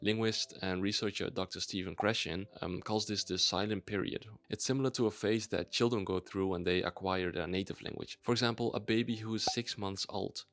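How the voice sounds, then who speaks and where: A man's voice: on the low side, fairly even intonation, brisk, few pauses, a bit bright. A young Dutch man, a native Dutch speaker, talking in a chatty, enthusiastic explainer vlog with ums and restarts. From Netherlands. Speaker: YouTuber.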